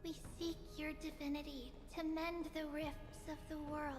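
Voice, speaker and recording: A woman's voice: sits high, level tone, normal speed, over music, lightly acted. A woman voicing a solemn, reverent young priestess in a video game, in a ceremonial manner. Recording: long hall reverb.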